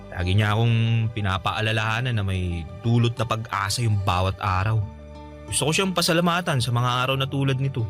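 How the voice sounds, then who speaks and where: A man's voice: mid-range voice, a bit bright, natural voice. A male actor playing an easygoing, forgiving young man comforting his buddy, with casual, reassuring radio drama dialogue.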